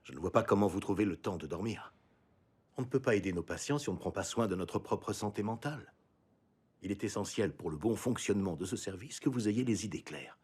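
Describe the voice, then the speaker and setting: A firm, caring boss in a hospital drama. His voice is fairly low and husky, and he gives measured, considerate instructions. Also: animated, a little mellow. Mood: firm, concerned.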